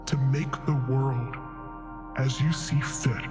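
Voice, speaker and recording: A man's voice: medium pitch, fairly even intonation, slow pace, close to an everyday voice. A man playing an ancient, wise celestial beast in a tabletop game, speaking in a solemn, oracular manner. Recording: long reverb, music behind. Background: music.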